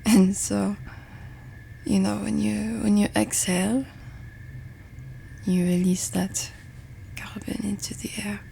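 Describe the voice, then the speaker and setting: A woman playing a pensive French roommate in an intimate ASMR ramble, with a French accent and a soft, breathy, hesitant delivery. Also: mid-range voice, flat delivery, slow, long pauses, slight background noise, rough recording. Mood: musing, gentle. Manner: hesitant, musing, self-deprecating. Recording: music behind the voice. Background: music and summer ambience.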